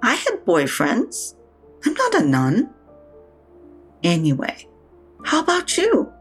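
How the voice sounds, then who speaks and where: A woman's voice: on the high side, huge pitch swings, takes it slowly, slightly rough, soft background music, heavily acted. A woman playing a blunt, sardonic older mother in an audio drama, with a frank, dry way of talking. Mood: wry, frank. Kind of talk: naturalistic audio drama acting.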